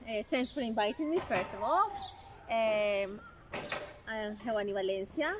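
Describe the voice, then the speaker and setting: A woman's voice: a little high, animated, normal speed, background noise. A woman from Colombia with a Colombian Spanish accent, Spanish as her first language, chatting casually as an interview guest, cheerful and laughing often, with many fillers.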